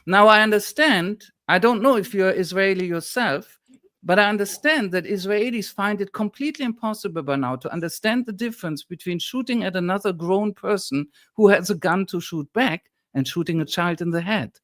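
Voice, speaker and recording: Emphatic German man, fairly high voice. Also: normal speed, fairly bright tone, no room sound, clean studio sound. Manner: emphatic, lecturing, indignant. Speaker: historian guest. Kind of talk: impassioned political discussion.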